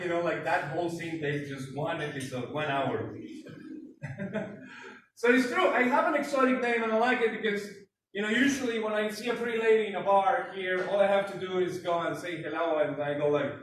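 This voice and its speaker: A man's voice: high voice, fairly bright tone. A young Mexican man with a Mexican Spanish accent, doing a self-deprecating stand-up comedy set and acting out scenes. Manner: self-deprecating, acts out scenes in put-on voices. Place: large room with live audience laughter. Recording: echoey hall from far off, room noise.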